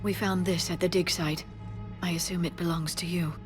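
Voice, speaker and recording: Music sits clearly behind a slightly low female voice. She is an earnest alien scholar in a video game, acted by a woman, polite and careful, with an inquisitive way of speaking. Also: steady tone, normal speed, close to an everyday voice. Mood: gentle, hopeful. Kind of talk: dramatic video game acting.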